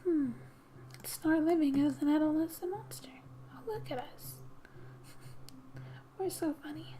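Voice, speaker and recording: A woman narrating a game in soft-spoken ASMR style, often dropping into a breathy whisper, reading gently like a storybook with quiet asides. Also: high voice, unhurried, slight background noise. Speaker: gaming ASMR video host.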